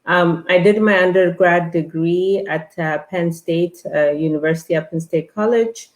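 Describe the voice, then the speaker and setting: Woman, fifties, Ethiopian-born guest, deep voice, halting delivery, roomy echo. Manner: halting, many fillers and restarts. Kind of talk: casual interview talk.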